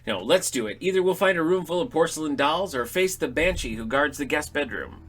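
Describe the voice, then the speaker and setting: A man's voice: on the high side, expressive, a little fast, a bit bright. A man playing a grumpy, dryly sarcastic middle-aged father in an audio drama comedy. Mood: grumbling, wry. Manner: dry, sarcastic, exasperated.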